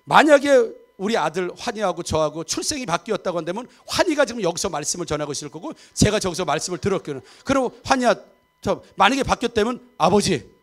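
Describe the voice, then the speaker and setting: A man's voice: high-pitched, sing-song, fast. A man in his fifties preaching a Sunday sermon, chatty and joking, often checking for agreement from the congregation. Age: 50s. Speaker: pastor. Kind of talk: sermon with humor and audience asides. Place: church sanctuary.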